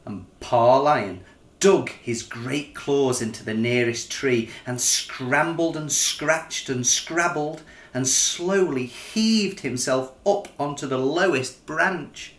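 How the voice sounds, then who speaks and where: A middle-aged man reading a children's picture book in an expressive, measured, dramatic storytelling style. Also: mid-range voice. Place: small living room, indoors. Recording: roomy living-room sound.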